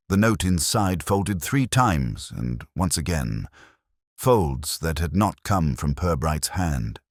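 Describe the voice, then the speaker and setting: Very deep, husky male mystery narrator. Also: no room sound, clean studio sound. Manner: grave, deliberate, suspenseful. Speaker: audiobook narrator of a detective mystery. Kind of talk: scripted story narration, measured and literary.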